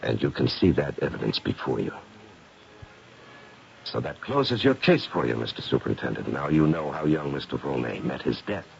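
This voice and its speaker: Older occult detective in old radio mystery, deep creaky voice, theatrical n sure of himself, muffled noisy tape. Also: male voice, big pitch swings, exaggerated voice. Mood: solemn, philosophical. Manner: deliberate, dramatic pauses with hesitant lead-ins. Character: gray-haired male occult investigator, theatrical, probing and assured. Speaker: actor in a radio mystery drama. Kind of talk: 1970s radio drama acting.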